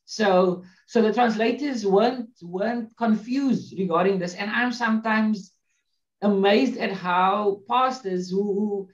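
A man's voice: high voice, measured pace. Afrikaans-speaking man on a roomy call. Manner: hesitant, many restarts, gestures while talking. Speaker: guest. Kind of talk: casual video-call discussion. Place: small room, indoors. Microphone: webcam on video call. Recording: roomy, dull video-call audio.

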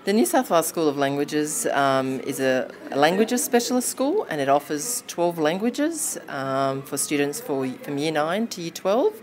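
Low-voiced woman, halting with ums. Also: animated. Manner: hesitant, many ums and restarts. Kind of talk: interview answers. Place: classroom indoors. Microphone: handheld lapel mic held near chest.

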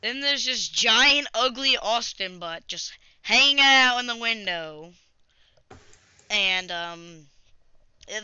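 A young gamer hosting a casual video, chatting and improvising a story in a halting, rambling way. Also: mid-range voice, sharp tone, clean tone, no room sound. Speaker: video host and player. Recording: dull lo-fi webcam-grade audio.

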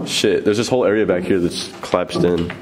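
A young man casually narrating as he explores an abandoned mine, joking and excited. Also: low voice, a little fast, noisy background. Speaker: vlogger.

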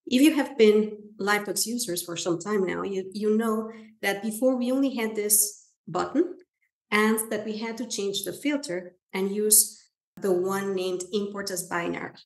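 A woman with a Spanish accent, a native Spanish speaker, walking viewers through software in a webinar, often checking in with "right?" and "okay?". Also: mid-range voice, fairly even intonation, moderate pace, echoey room. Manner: friendly, explanatory, with frequent "right?" and "okay?". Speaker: software trainer. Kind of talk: webinar screen-share demo. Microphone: video call.

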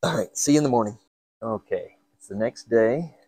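A man's voice: medium pitch, animated, moderate pace, a little mellow, breathy edge. A man giving casual hands-on repair narration, half talking to himself in fragments as he works in an indoor workshop. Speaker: hobbyist repair host.

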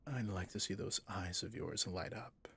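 A man playing a suave, possessive villain boss in an intimate ASMR roleplay, speaking in a courtly, seductive way with a breathy, husky voice. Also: sits low, moderate pace, a bit bright, heavily acted. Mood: gentle, courteous. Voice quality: breathy, husky, often near a whisper.

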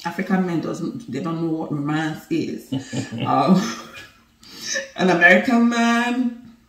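Nigerian woman, 30s, animated and teasing, roomy far-off living-room sound. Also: fairly low pitch, slow, slight background noise. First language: Igbo. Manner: animated, laughing, playful and teasing. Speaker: co-host of a couple's channel. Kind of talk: casual chat to camera. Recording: roomy, somewhat distant sound.

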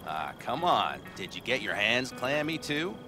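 An actor playing an informal, ribbing veteran of a nomad crew in a video game. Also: male voice, mid-range voice, sing-song, unhurried, over music. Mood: teasing. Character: teasing veteran nomad man, loyal crew member. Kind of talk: video game cutscene acting.

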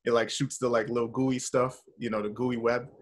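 An American man in his thirties chatting casually on a video call, telling stories in a slangy, rambling, animated way. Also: mid-range voice, a little fast. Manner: animated, slangy, rambling with frequent "you know" and "like". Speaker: actor being interviewed. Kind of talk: casual chat, storytelling. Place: indoor room, video call.

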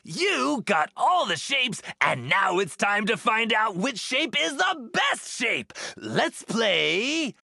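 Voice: mid-range voice, creaky, bright, forceful tone, breathy edge, dry recording, studio quality, exaggerated voice. An actor playing a cheerful cartoon star who hosts a children's game show in a showy, encouraging way. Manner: showy, encouraging announcer delivery. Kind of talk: children's educational cartoon acting. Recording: music behind.

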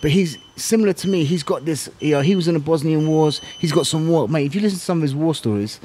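A middle-aged man with a southern English accent, telling stories casually as an interview guest, in animated, slangy, swear-laden talk that acts out remembered exchanges. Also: a little high, quick pace, a little hiss or hum. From England. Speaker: interview guest, former kickboxing champion.

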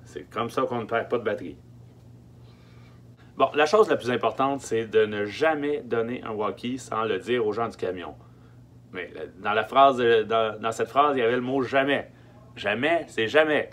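A man in his thirties with a Quebec French accent gives informal, emphatic instructions with hesitant pauses, speaking through a face mask in a small truck interior. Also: mid-range voice, a bit bright. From Quebec, Canada. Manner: emphatic, repeats key words, hesitant pauses. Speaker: film production logistics technician giving tips. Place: small wooden-lined storage truck interior. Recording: faint hum and background noise.

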